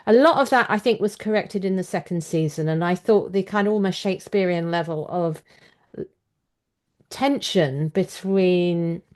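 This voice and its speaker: A woman with a slightly low voice speaks as an interview host. She talks conversationally and lays out her opinions step by step, in an analytical, explanatory manner. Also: normal speed, a little mellow. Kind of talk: conversational book and screen discussion.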